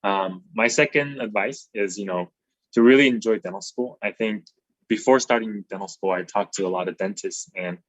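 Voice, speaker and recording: A man's voice: fairly low pitch, normal speed, fairly bright tone, no room sound, rough recording. A man in his twenties from Taiwan, a dental resident giving an easygoing slide talk over a video call, with many ums and uhs. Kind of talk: informal slide presentation.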